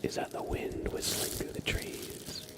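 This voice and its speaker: A man doing ASMR on a live stream in a breathy, hushed whisper, speaking gently and softly. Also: unhurried, heavy background noise. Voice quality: breathy whisper with soft-spoken stretches. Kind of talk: ASMR, whispered relaxation and guided imagery.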